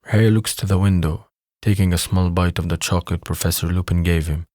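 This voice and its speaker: A man's voice: low voice, fairly even intonation, brisk, darkish tone, dry recording, studio quality. A man reading scripted narration for an audio drama as its narrator.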